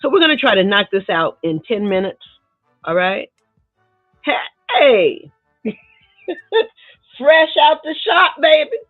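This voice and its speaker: A woman's voice: on the high side, sing-song, slow pace, long pauses, no echo, soft background music. A middle-aged woman with a nasal, congested voice, chatting casually with viewers while she sets up a painting lesson in her home studio. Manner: chatty, laughs often, talks to viewers as "y'all" and "baby". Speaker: art teacher live-streaming a painting lesson. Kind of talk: casual live-stream chat while setting up. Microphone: desk microphone near the table. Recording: tinny, narrow-band live-stream audio.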